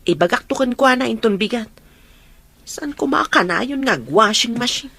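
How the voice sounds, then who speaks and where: A woman's voice: on the high side, animated, moderate pace, creaky, sharp tone, full character voice. A woman playing a demanding, self-pitying sickly stepmother in a radio drama, bossy and scolding. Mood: bossy, nagging. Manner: scolding, complaining. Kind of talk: Ilocano radio drama acting.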